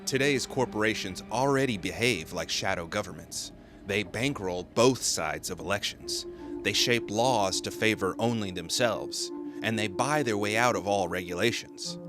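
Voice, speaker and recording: A man narrating a scripted video essay in an emphatic, grave, deliberate manner. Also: fairly low pitch. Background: music.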